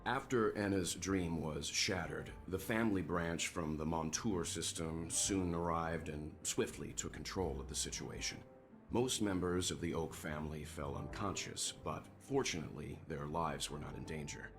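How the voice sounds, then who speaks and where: An actor playing a thoughtful, grave mentor in a video game, speaking in a measured, formal, analytical manner. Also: male voice, rumbling low. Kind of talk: voiced video game dialogue. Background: background music.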